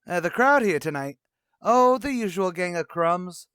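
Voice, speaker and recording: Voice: medium pitch, expressive, measured pace, fairly bright tone, clean tone, no room sound, studio quality. An actor playing a pompous, wisecracking tavern manager with a brash, mock-erudite manner in a radio comedy. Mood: breezy. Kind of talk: old-time radio comedy acting.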